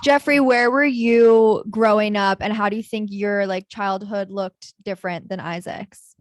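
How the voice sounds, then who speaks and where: A woman's voice: mid-range voice, steady tone, a little slow, dry recording. Young woman host, upbeat questioner, dry close recording. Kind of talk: interview questions.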